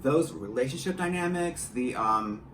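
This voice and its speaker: A man's voice: mid-range voice, lively intonation, moderate pace, a bit bright. Chatty man in an echoey room. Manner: chatty, self-deprecating, freely swearing. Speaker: audio drama writer and director. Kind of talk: casual studio interview. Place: small home studio, indoors. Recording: roomy echo with faint background noise.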